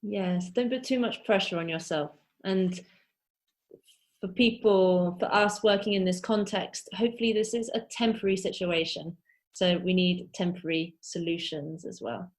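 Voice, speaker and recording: A woman's voice: lowish voice, steady tone, moderate pace. Woman moderating an online Q&A. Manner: friendly, loosely paced with fillers. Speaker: webinar moderator.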